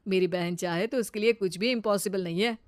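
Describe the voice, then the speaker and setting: A woman's voice: mid-range voice, brisk, dry recording, close to an everyday voice. A woman voicing a proud, affectionate older brother, approving and indulgent in manner. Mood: proud, fond.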